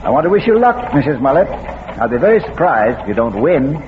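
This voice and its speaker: A man acting in an old radio drama as a genteel, courteous gentleman with a hesitant, dryly witty manner. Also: mid-range voice, very animated, a little fast, narrow, tinny audio, close to an everyday voice. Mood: wry, courteous. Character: genteel, wry bearded gentleman sleuth. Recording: thin, noisy vintage radio recording.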